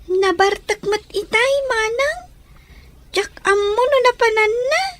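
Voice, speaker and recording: A woman's voice: extremely high pitch, unhurried, fairly bright tone. A woman playing an anxious, plaintive schoolgirl, the younger sister, in a radio drama with a Filipino accent. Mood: worried.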